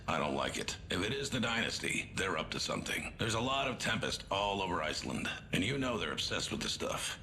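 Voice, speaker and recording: A gruff video game colonel with a low, gravelly voice. He is blunt, wary and commanding, with forceful delivery over some rumbling noise. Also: full character voice. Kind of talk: scripted video game briefing.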